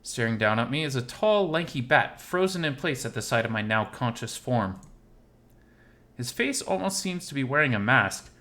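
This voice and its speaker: A man reading a visual novel aloud as expressive first-person scripted narration. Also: medium pitch, moderate pace.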